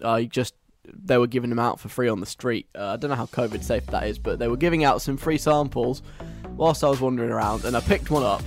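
A man's voice: mid-range voice, fairly quick, faint music behind. A young British man hosting a radio show in a conversational, wry, chatty style.

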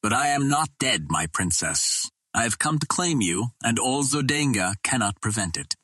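A man's voice: medium pitch, very animated, normal speed, sharp tone, no echo. A man reading an audiobook, playing an ardent and determined soldier hero who speaks in formal, dramatic declarations. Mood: urgent, defiant.

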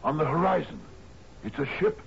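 An actor playing an aging, gruff sea captain in a 1970s radio drama, commanding yet lonely, halting in his speech. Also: a little high. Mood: awed, insistent. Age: older. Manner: gruff, halting, swings from muttering to shouting. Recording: dull, noisy 1970s radio broadcast.

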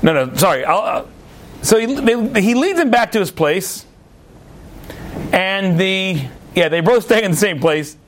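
A man giving a storytelling sermon-style Torah lesson, animated and chatty, often repeating words mid-sentence. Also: sits high, a little slow, long pauses, slight background noise. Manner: chatty asides to listeners. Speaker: Torah teacher.

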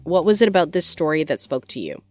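A woman with a low voice presents a podcast. Her delivery is scripted radio hosting, and music plays clearly underneath. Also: sing-song, quick pace, a little mellow. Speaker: podcast host.

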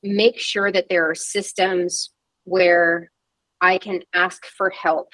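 Female interview guest; lower voice, dry close recording, reflective and unpolished. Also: little pitch movement, takes it slowly, a bit bright, no echo, poor audio. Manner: reflective and candid, with frequent "um" and restarts. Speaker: guest, PR agency founder. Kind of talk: candid interview conversation.

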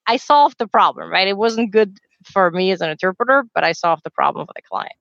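A woman talks at length, emphatic and sure of herself, in a conversational panel style. Her voice sits at a middling pitch. The sound is dry and slightly dull, like a lo-fi call. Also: lively intonation, brisk, gravelly, fairly bright tone, dry recording. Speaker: court and conference interpreter, guest.

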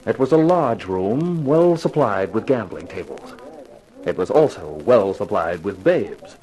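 Wry hard-boiled radio detective. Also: male voice, fairly low pitch, lively intonation, a little slow, mellow. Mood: wry narration. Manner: wry, slangy, tough. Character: hard-boiled wisecracking male private detective narrating his case. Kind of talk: 1950s radio detective drama acting. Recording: noisy vintage radio recording.

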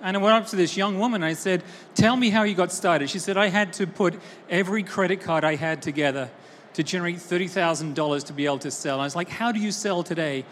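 A woman from Texas giving an energetic, conversational talk at a conference and asking the audience questions. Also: fairly low pitch, fast, slight background noise. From Austin, Texas. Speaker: conference keynote speaker. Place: large conference hall. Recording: roomy hall with faint ambience.

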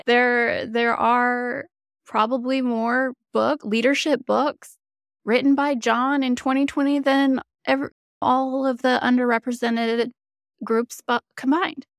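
Gravelly, smooth-voiced woman host. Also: on the high side, dry recording, clean studio sound. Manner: affirming, enthusiastic interviewer. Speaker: podcast host. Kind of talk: interview conversation.

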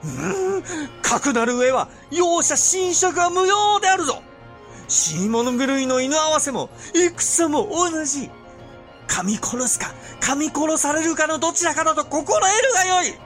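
Casting: haughty, bloodthirsty warlord, very high voice, slow drawn-out vehemence, period audio drama. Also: male voice, animated, fairly bright tone, faint music behind, exaggerated voice. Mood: ruthless. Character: imperious, bloodthirsty male regent lord, shrill and ruthless. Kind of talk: Japanese historical audio drama.

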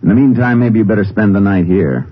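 An actor playing a calm, probing Texas Ranger in 1950s radio drama style, terse, controlled and authoritative. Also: male voice, lowish voice, fast talker, a little hiss or hum, natural voice. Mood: stern, probing. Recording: muffled old radio recording.

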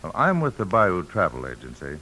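Very deep male voice, bright forceful tone, insinuating travel agent, businesslike, bribe-seeking, 1950s radio drama. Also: wildly swooping pitch, a little fast, slightly rough, full character voice, low-quality recording. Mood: businesslike, sly. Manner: insinuating, businesslike, hesitant lead-ins.

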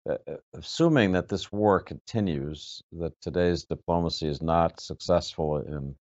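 A man with a deep, low voice interviews a guest in a formal register. His phrasing is measured and hesitant, and the audio is dull and lo-fi. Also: darkish tone. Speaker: interviewer, moderator.

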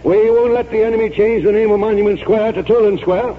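Man acting pompous high-voiced old army colonel. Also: fairly even intonation, fairly quick, lightly acted. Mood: grandiose, combative. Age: older. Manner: pompous, oratorical, military. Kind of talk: 1940s radio acting. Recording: tinny, noisy 1940s radio recording.

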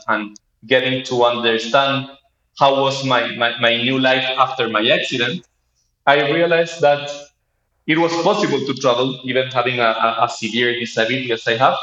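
A man in his thirties with a Chilean Spanish accent tells his own story as a guest. He speaks haltingly at a medium pitch, in a reverberant room with somewhat lo-fi sound. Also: a bit bright. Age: 30s. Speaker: interview guest, startup co-founder. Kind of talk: personal storytelling in an interview. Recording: roomy, dull lo-fi sound.

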